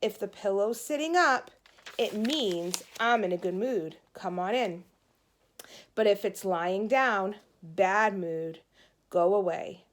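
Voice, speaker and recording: A woman's voice: medium pitch, slow pace, close to an everyday voice. A woman voicing a moody, bossy young big sister in a children's storybook, with an emphatic, snappy delivery. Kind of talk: children's storybook read-aloud.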